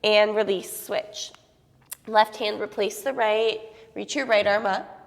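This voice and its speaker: A young woman teaching a yoga class on a clip-on mic, giving calm, steady cues with a slight sniffle from a cold. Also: medium pitch, slow pace, creaky, big-room sound, rough recording. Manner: calm, steady cueing with soft fillers. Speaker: yoga instructor.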